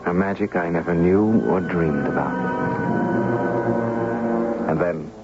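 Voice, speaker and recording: A man's voice: deep voice, slow pace, music in the background. An actor playing a lonely, preoccupied man in love, earnest and hesitant, in a 1970s radio drama. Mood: brooding narration. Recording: muffled, noisy 1970s radio recording.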